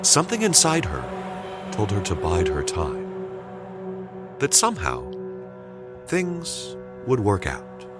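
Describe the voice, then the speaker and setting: A man narrating a scripted dramatic story deliberately, with suspenseful pauses. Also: fairly low pitch, wildly swooping pitch, loud music behind the voice.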